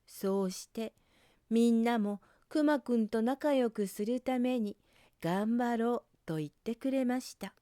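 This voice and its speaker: Female picture-book narrator: very mellow, leisurely, gentle read-aloud, close dry sound. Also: fairly high pitch, unhurried, no echo. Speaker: storyteller. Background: background music.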